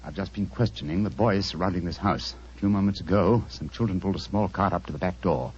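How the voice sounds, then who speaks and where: An actor playing a self-assured English gentleman detective, clipped, authoritative and dryly urbane, in a 1940s radio drama. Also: low voice, quick pace, breathy edge. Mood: urgent. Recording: muffled 1940s radio recording.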